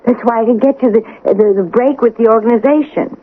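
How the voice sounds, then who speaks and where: Brash, pushy gangster's moll played by an actress. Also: female voice, fairly high pitch, fairly quick, close to an everyday voice. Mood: impatient, bossy. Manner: brash, impatient, streetwise. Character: tough, pushy gangster's sister bossing her man. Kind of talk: radio drama acting. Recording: tinny, hissy old radio broadcast.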